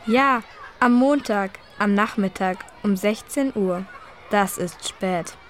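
A woman playing a schoolgirl in a scripted textbook dialogue, speaking slowly and clearly and complaining about her week. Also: mid-range voice, a little hiss or hum, close to an everyday voice. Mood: grumbling. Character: teen girl fed up with her timetable. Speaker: student in a listening dialogue.

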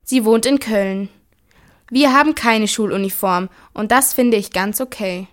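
A woman's voice: mid-range voice, unhurried, close to an everyday voice. A woman playing a schoolgirl who plainly describes her hobbies in scripted textbook audio. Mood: friendly.

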